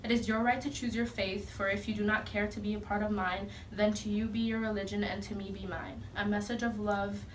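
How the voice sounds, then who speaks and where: A teenage girl giving an earnest, measured prepared speech as a student panelist. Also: mid-range voice, little pitch movement. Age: teens. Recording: distant mic, faint noise.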